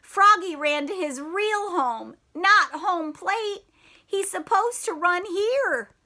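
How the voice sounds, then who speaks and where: Slow-paced, high-voiced woman teacher. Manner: animated, encouraging, questioning. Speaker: teacher reading aloud to children. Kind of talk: children's read-aloud with questions.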